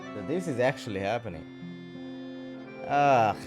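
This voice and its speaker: A man's voice: mid-range voice, animated, a little slow. A man giving casual, jokey, rambling playthrough commentary, reacting aloud to a video game. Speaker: gamer commentator. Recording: game music behind voice. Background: game music.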